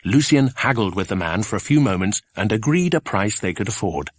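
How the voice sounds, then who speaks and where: A man narrating a children's adventure audiobook in a scripted storytelling style, with a husky voice. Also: lowish voice, animated, brisk, dry recording.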